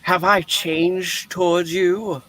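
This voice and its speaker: Man acting a melodramatic old miser, high-pitched, slow, defensive, stage-play reading. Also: little pitch movement, bright, forceful tone, full character voice. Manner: melodramatic, exclamatory. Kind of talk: theatrical amateur play reading.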